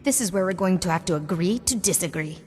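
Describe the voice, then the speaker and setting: A woman's voice: on the low side, expressive, quick pace, a little mellow, breathy edge. An actress playing a ruthless female street gang boss in a video game, cocky, foul-mouthed and sardonic. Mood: cocky defiance. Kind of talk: dramatic video game cutscene acting.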